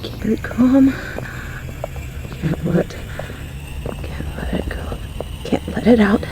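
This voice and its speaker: A woman's voice: on the high side, expressive, slow pace, in a whisper, poor audio. A woman playing a flirty, possessive and unhinged female mafia boss in a roleplay audio drama, theatrical in her delivery. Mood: anxious whispering. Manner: theatrical, swinging from sweet cooing to manic shouting and muttering.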